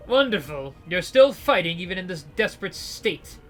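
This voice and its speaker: A man's voice: high-pitched, big pitch swings, moderate pace, soft background music. A man putting on a cold, sneering gangster boss. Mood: cold mocking. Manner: detached, sneering, commanding. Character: cold, mocking male gangster leader.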